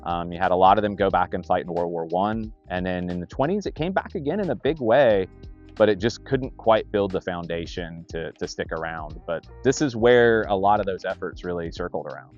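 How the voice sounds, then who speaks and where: Deep voiced guy explaining local history in an interview, music playing behind him. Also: expressive, a little fast, highs cut off. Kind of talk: informal interview, recounting history.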